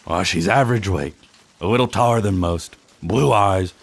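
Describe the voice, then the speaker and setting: A man playing a stern, authoritative police chief in a radio drama, with a gravelly, husky voice and a brusque, commanding manner. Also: low-pitched, normal speed.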